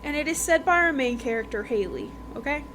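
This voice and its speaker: Higher-voiced chatty woman, noisy recording. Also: animated, brisk, fairly bright tone. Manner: informal, emphatic, repeats phrases, checks in with "okay?" and "right?". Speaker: podcast host reviewing books. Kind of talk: casual chatty book review.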